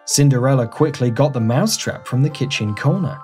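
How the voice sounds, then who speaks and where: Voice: medium pitch, brisk, loud music behind the voice. A narrator reading a scripted fairy tale for children in storybook style.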